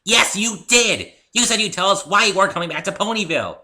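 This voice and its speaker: A man voicing an excitable, pouty female cartoon pony in a dramatic story reading, with bubbly, emphatic delivery. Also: sits high, quick pace, voice pushed up, heavily acted. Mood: indignant. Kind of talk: dramatic fan-fiction reading.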